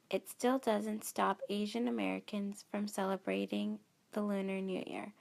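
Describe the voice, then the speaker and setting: A woman reading scripted narration for a student documentary in a steady, measured way. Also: mid-range voice, gravelly.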